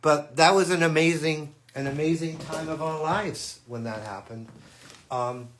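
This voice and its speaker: An older man, a guitarist on a fan livestream, telling stories about his past in a relaxed way that often trails off. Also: on the high side, measured pace, a little hiss or hum. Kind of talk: casual reminiscing chat. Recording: echoey room.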